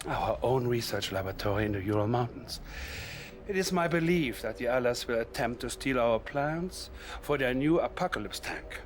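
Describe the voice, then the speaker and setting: An actor playing a sinister, scheming psychic advisor in a game cutscene, speaking softly and menacingly, often close to a whisper. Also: male voice, mid-range voice, a little hiss or hum, lightly acted. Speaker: Soviet military advisor. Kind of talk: video game cutscene acting.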